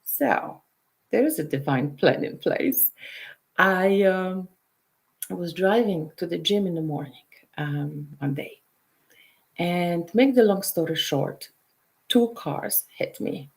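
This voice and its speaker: Slow, low-pitched Polish woman. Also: lively intonation, creaky. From Poland. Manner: soft, unhurried, drops to a whisper at times. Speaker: intuitive counselor and show host. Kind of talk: guided meditation and personal story.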